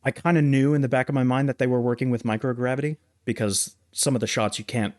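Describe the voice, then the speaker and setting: A man's voice: medium pitch, steady tone, quick pace, darkish tone, no echo, clean studio sound. A man co-hosting a film review podcast, talking casually about movies. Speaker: podcast co-host reviewing films.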